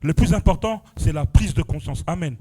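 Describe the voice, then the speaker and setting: A young French man giving his testimony at a church prayer vigil. His voice sits a little high for a man, and he surges and drops in intensity, tagging nearly every phrase with "amen" or "alléluia". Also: a little fast, dark tone. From France. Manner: exclamatory, punctuates phrases with "amen" and "alléluia", rising and falling intensity. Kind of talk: sermon testimony.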